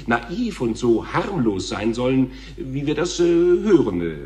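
An actor playing a stammering, self-important and patronizing television talk show host in a radio play. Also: male voice, mid-range voice, very animated, unhurried, dark tone, slight background noise. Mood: flustered. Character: flustered, smug male TV talk show host. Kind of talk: German radio play acting.

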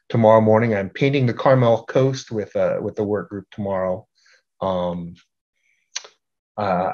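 A middle-aged man presents informally to a group in a fairly low voice. He speaks haltingly, often doubling back on words, with a self-deprecating manner. The sound is slightly dull and lo-fi, as on a video call. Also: darkish tone. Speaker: art group organizer giving a talk. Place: indoor room at home, on a video call.

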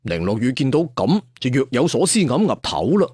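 A man's voice: mid-range voice, big pitch swings, moderate pace, a little mellow, husky, no echo, studio quality. A man narrating a fantasy tale in a colloquial, dramatic storyteller style with chatty asides. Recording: music behind the voice. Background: background music.

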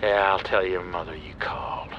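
A man playing a cantankerous old American veteran, gruff and scornful, scolding family. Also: low-pitched, steady tone, normal speed, highs cut off, noisy background. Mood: scornful, bitter. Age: older. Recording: music behind.

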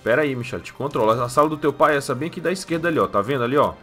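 A quick-talking Brazilian man with a bright, sharp voice, stumbling over his words and muttering to himself. Faint music sits behind him. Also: medium pitch, expressive. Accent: Brazilian Portuguese. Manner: flustered, rambling, muttering asides. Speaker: roleplayer playing a soldier. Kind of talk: improvised game roleplay.

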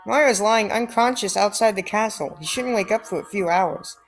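A woman's voice: medium pitch, normal speed, soft background music, lightly acted. A woman voicing a scheming male wizard henchman, reading the game's dialogue plainly, like a report. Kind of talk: reading video game dialogue aloud.

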